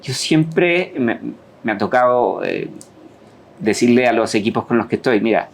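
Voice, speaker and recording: A man's voice: medium pitch, animated, normal speed. A man hosting a podcast interview, talking casually and agreeably, often backing up his guest. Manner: agreeable, frequent short backchannels. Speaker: podcast host.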